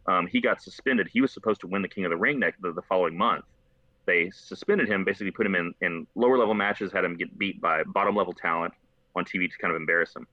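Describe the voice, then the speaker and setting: An American man chatting casually and telling rambling, animated stories as a podcast host. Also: low voice, fast, dry recording. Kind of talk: casual conversational storytelling. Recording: slightly dull lo-fi, dry close sound.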